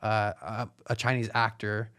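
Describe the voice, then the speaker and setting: A man's voice: on the low side, little pitch movement, unhurried, a bit bright. A man co-hosting a podcast, giving a movie review in an informal, conversational way. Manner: informal, conversational, frequent "like" and "kinda". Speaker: podcast co-host and film reviewer. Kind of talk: casual movie review chat.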